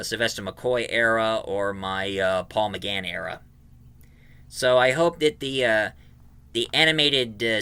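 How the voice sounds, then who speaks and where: Bright-voiced man giving an enthusiastic review. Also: lowish voice, clear, resonant tone. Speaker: YouTube reviewer and host. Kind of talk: casual video review.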